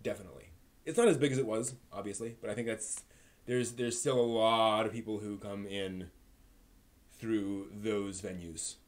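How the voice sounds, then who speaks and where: A man's voice: on the low side, fairly even intonation, moderate pace. A man hosting a live stream, talking through anime reviews and discussion in a conversational way.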